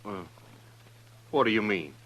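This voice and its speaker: An actor playing a cagey, indignant murder suspect in a 1950s radio Western, challenging and evasive. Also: male voice, fairly low pitch, expressive, measured pace, fairly bright tone, a little hiss or hum, rough recording. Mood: defensive. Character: defiant, cagey male murder suspect in the Old West.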